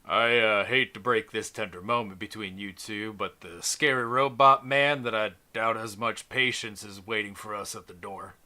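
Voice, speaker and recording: Male game master as a wry comrade, tabletop RPG, lowered pitch, hesitant delivery. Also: fairly even intonation, moderate pace, hardly any pauses, pushed and bright. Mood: wry, wary.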